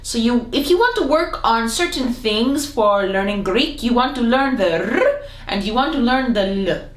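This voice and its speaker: A woman teaching a comedic language lesson to camera, playful and theatrical, her voice jumping up in pitch now and then. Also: expressive, normal speed. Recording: echoey room.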